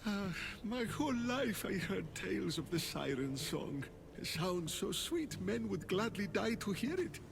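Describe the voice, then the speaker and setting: A man's voice: high voice, big pitch swings, unhurried, vocal fry, background noise, heavily acted. An actor playing a weary, frightened captive fisherman with a dreamer's love of poetry, in a video game. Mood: wistful, rueful. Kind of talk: video game character acting.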